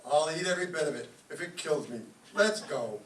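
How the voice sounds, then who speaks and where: A man's voice: fairly high pitch, lively intonation, brisk, natural voice. A man playing a devoted, stubborn husband in a live old-time radio comedy sketch. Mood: determined, playful. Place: live staged show in a hall. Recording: echoey hall, distant mic, faint noise.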